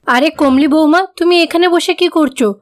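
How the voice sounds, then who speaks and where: A woman plays a greedy, scheming cartoon mother-in-law of middle age. She is domineering and argumentative, in a high-pitched voice. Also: moderate pace, lightly acted. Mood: scolding.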